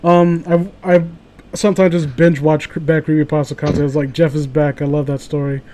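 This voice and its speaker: High-pitched man, rambling and profane. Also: level tone, brisk, warm tone, slight background noise. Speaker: host reading and mocking amateur horror stories. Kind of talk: casual commentary mixed with story reading.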